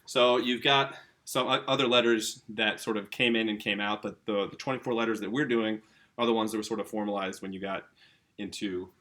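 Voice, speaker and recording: Low-voiced man teaching casually at a board. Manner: relaxed and chatty, self-correcting while writing on a board. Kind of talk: casual classroom video lesson.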